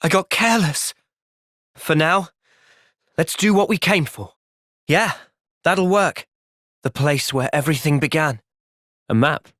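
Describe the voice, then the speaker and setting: A man playing an earnest, gentle, resolute young soldier in video game cutscenes. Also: fairly high pitch, unhurried, long pauses, dry recording, clean studio sound. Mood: calm. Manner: earnest, thoughtful. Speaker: voice actor.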